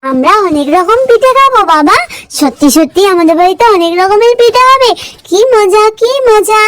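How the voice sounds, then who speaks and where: A performer voicing an eager, excitable little village girl who repeats her phrases in a doll cartoon. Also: extremely high pitch, moderate pace, no echo, studio quality. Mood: delighted. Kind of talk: Bengali doll cartoon voice acting.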